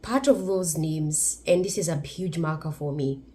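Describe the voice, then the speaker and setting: Kenyan-accented woman vlogger, lower pitch, emphatic and blunt. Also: a little slow, mellow. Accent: Kenyan English. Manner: emphatic, blunt, laughs between points. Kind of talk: opinion vlog commentary.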